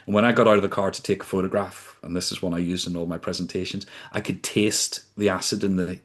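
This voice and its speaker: A man in his fifties from the UK, a podcast guest recounting his career in a measured, deliberate way. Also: sits low, fairly even intonation. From United Kingdom. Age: 50s. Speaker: podcast guest, sustainability consultant. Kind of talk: reflective storytelling in conversation.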